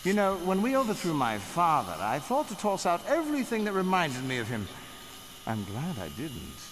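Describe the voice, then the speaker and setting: An actor playing a cruel, haughty young Sith lord in an audio drama, with a mocking, theatrical, drawn-out delivery. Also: male voice, fairly high pitch, wildly swooping pitch. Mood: gloating. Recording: long hall reverb, noisy audio drama.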